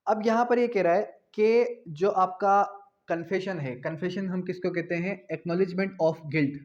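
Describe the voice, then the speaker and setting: Male lecturer, Pakistani accent, fairly high voice, very measured didactic delivery with repeated phrases, muffled lo-fi recording. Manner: didactic, repetitive, posing questions and answering them. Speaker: law lecturer. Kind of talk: explanatory lecture with slow dictation of definitions.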